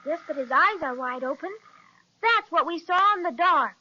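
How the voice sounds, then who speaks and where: A woman's voice: very high voice, expressive, moderate pace, sharp tone, heavily acted. A woman playing an earnest, wide-eyed little girl in a children's radio serial, speaking in a plain, eager, childlike way. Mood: surprised, eager. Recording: dull audio with clear background noise.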